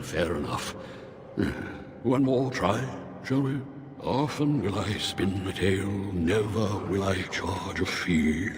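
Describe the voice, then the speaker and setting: Deep, languid demon beast, cavernous reverb. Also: male voice, slight background noise, full character voice. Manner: languid, weary, condescending, drawn-out delivery. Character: bored, languid demon of sloth in beast form. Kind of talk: fantasy video game voice acting. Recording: long reverb, music underneath.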